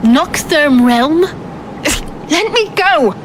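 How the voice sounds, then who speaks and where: Casting: defiant young-sounding fighter heroine, higher pitch, dramatic first-person fantasy storytelling, noisy backdrop. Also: female voice, animated, drawn-out words, full character voice. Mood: indignant, defiant. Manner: dramatic, indignant in dialogue. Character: feisty, defiant injured female warrior, narrating her tale.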